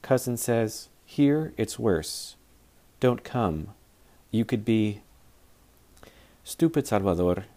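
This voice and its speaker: A man's voice: on the low side, expressive, slow pace, pauses often, warm tone. A man, a teacher reciting a poem aloud. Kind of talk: poetry reading.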